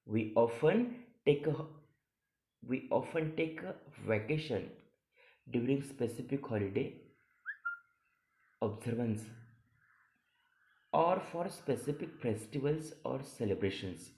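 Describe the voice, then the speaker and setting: A man teaching a lesson, reading practice paragraphs aloud in a deliberate, stumbling way and repeating phrases. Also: medium pitch, animated, mellow. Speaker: college teacher. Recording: echoey room sound.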